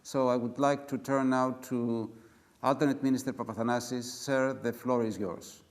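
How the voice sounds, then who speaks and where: A man's voice: mid-range voice, fairly even intonation, normal speed. A man moderating formally, giving thanks and introductions.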